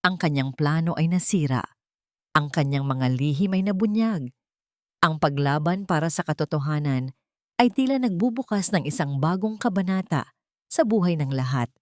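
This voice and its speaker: Low-pitched Filipino woman narrating a drama. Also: no room sound, clean studio sound. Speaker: audiobook narrator. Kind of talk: scripted dramatic story narration.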